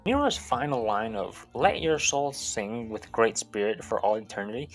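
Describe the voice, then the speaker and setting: A man's voice: fairly low pitch, very animated, normal speed, faint music behind. A man hosting a video essay, giving an informal, sweary recap that mixes scripted narration with casual commentary.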